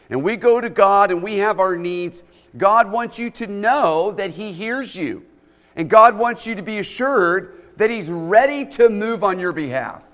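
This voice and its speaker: A man's voice: high voice, a bit bright. A middle-aged man with a big, bold voice, preaching a sermon to a church congregation as a guest speaker in emphatic, repeated short phrases. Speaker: guest preacher, youth camp director. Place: indoors in a church, speaking into a microphone. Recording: reverberant church hall, long reverb.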